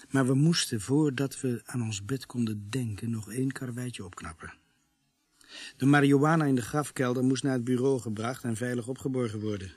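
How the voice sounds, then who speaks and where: A man's voice: mid-range voice, a little mellow, slightly rough, dry recording, natural voice. An actor playing a wry, tired policeman narrating his own story in a radio drama.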